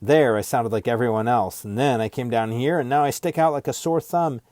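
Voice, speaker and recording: A man's voice: mid-range voice, fairly quick, a little mellow, no echo, close to an everyday voice. A man voicing a guarded, wry teenage girl in a storybook, speaking hesitantly with a dry, challenging edge. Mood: reserved.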